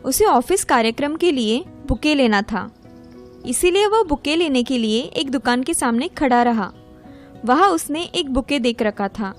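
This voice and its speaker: A woman's voice: high voice, animated, a little slow, soft background music. A woman reading a scripted moral story as its narrator.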